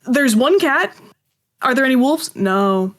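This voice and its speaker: A woman's voice: sits high, lively intonation, unhurried. A woman being interviewed as a creator, telling stories casually and self-deprecatingly and acting out little quoted exchanges. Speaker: guest, audio drama creator.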